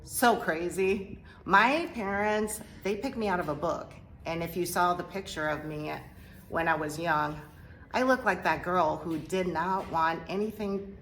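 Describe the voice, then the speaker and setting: A middle-aged woman from Korea telling her life story as an interview guest, speaking hesitantly with many pauses and fillers. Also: on the low side, slight background noise, rough recording. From South Korea. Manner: hesitant, halting, soft-spoken with many fillers. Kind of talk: personal testimony interview.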